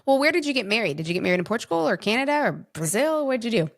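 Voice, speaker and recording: A woman's voice: a little high, sing-song, very fast, dry recording, clean studio sound. A woman hosting a podcast, asking questions and responding in an informal, conversational interview style. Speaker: podcast host. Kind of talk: casual interview chat.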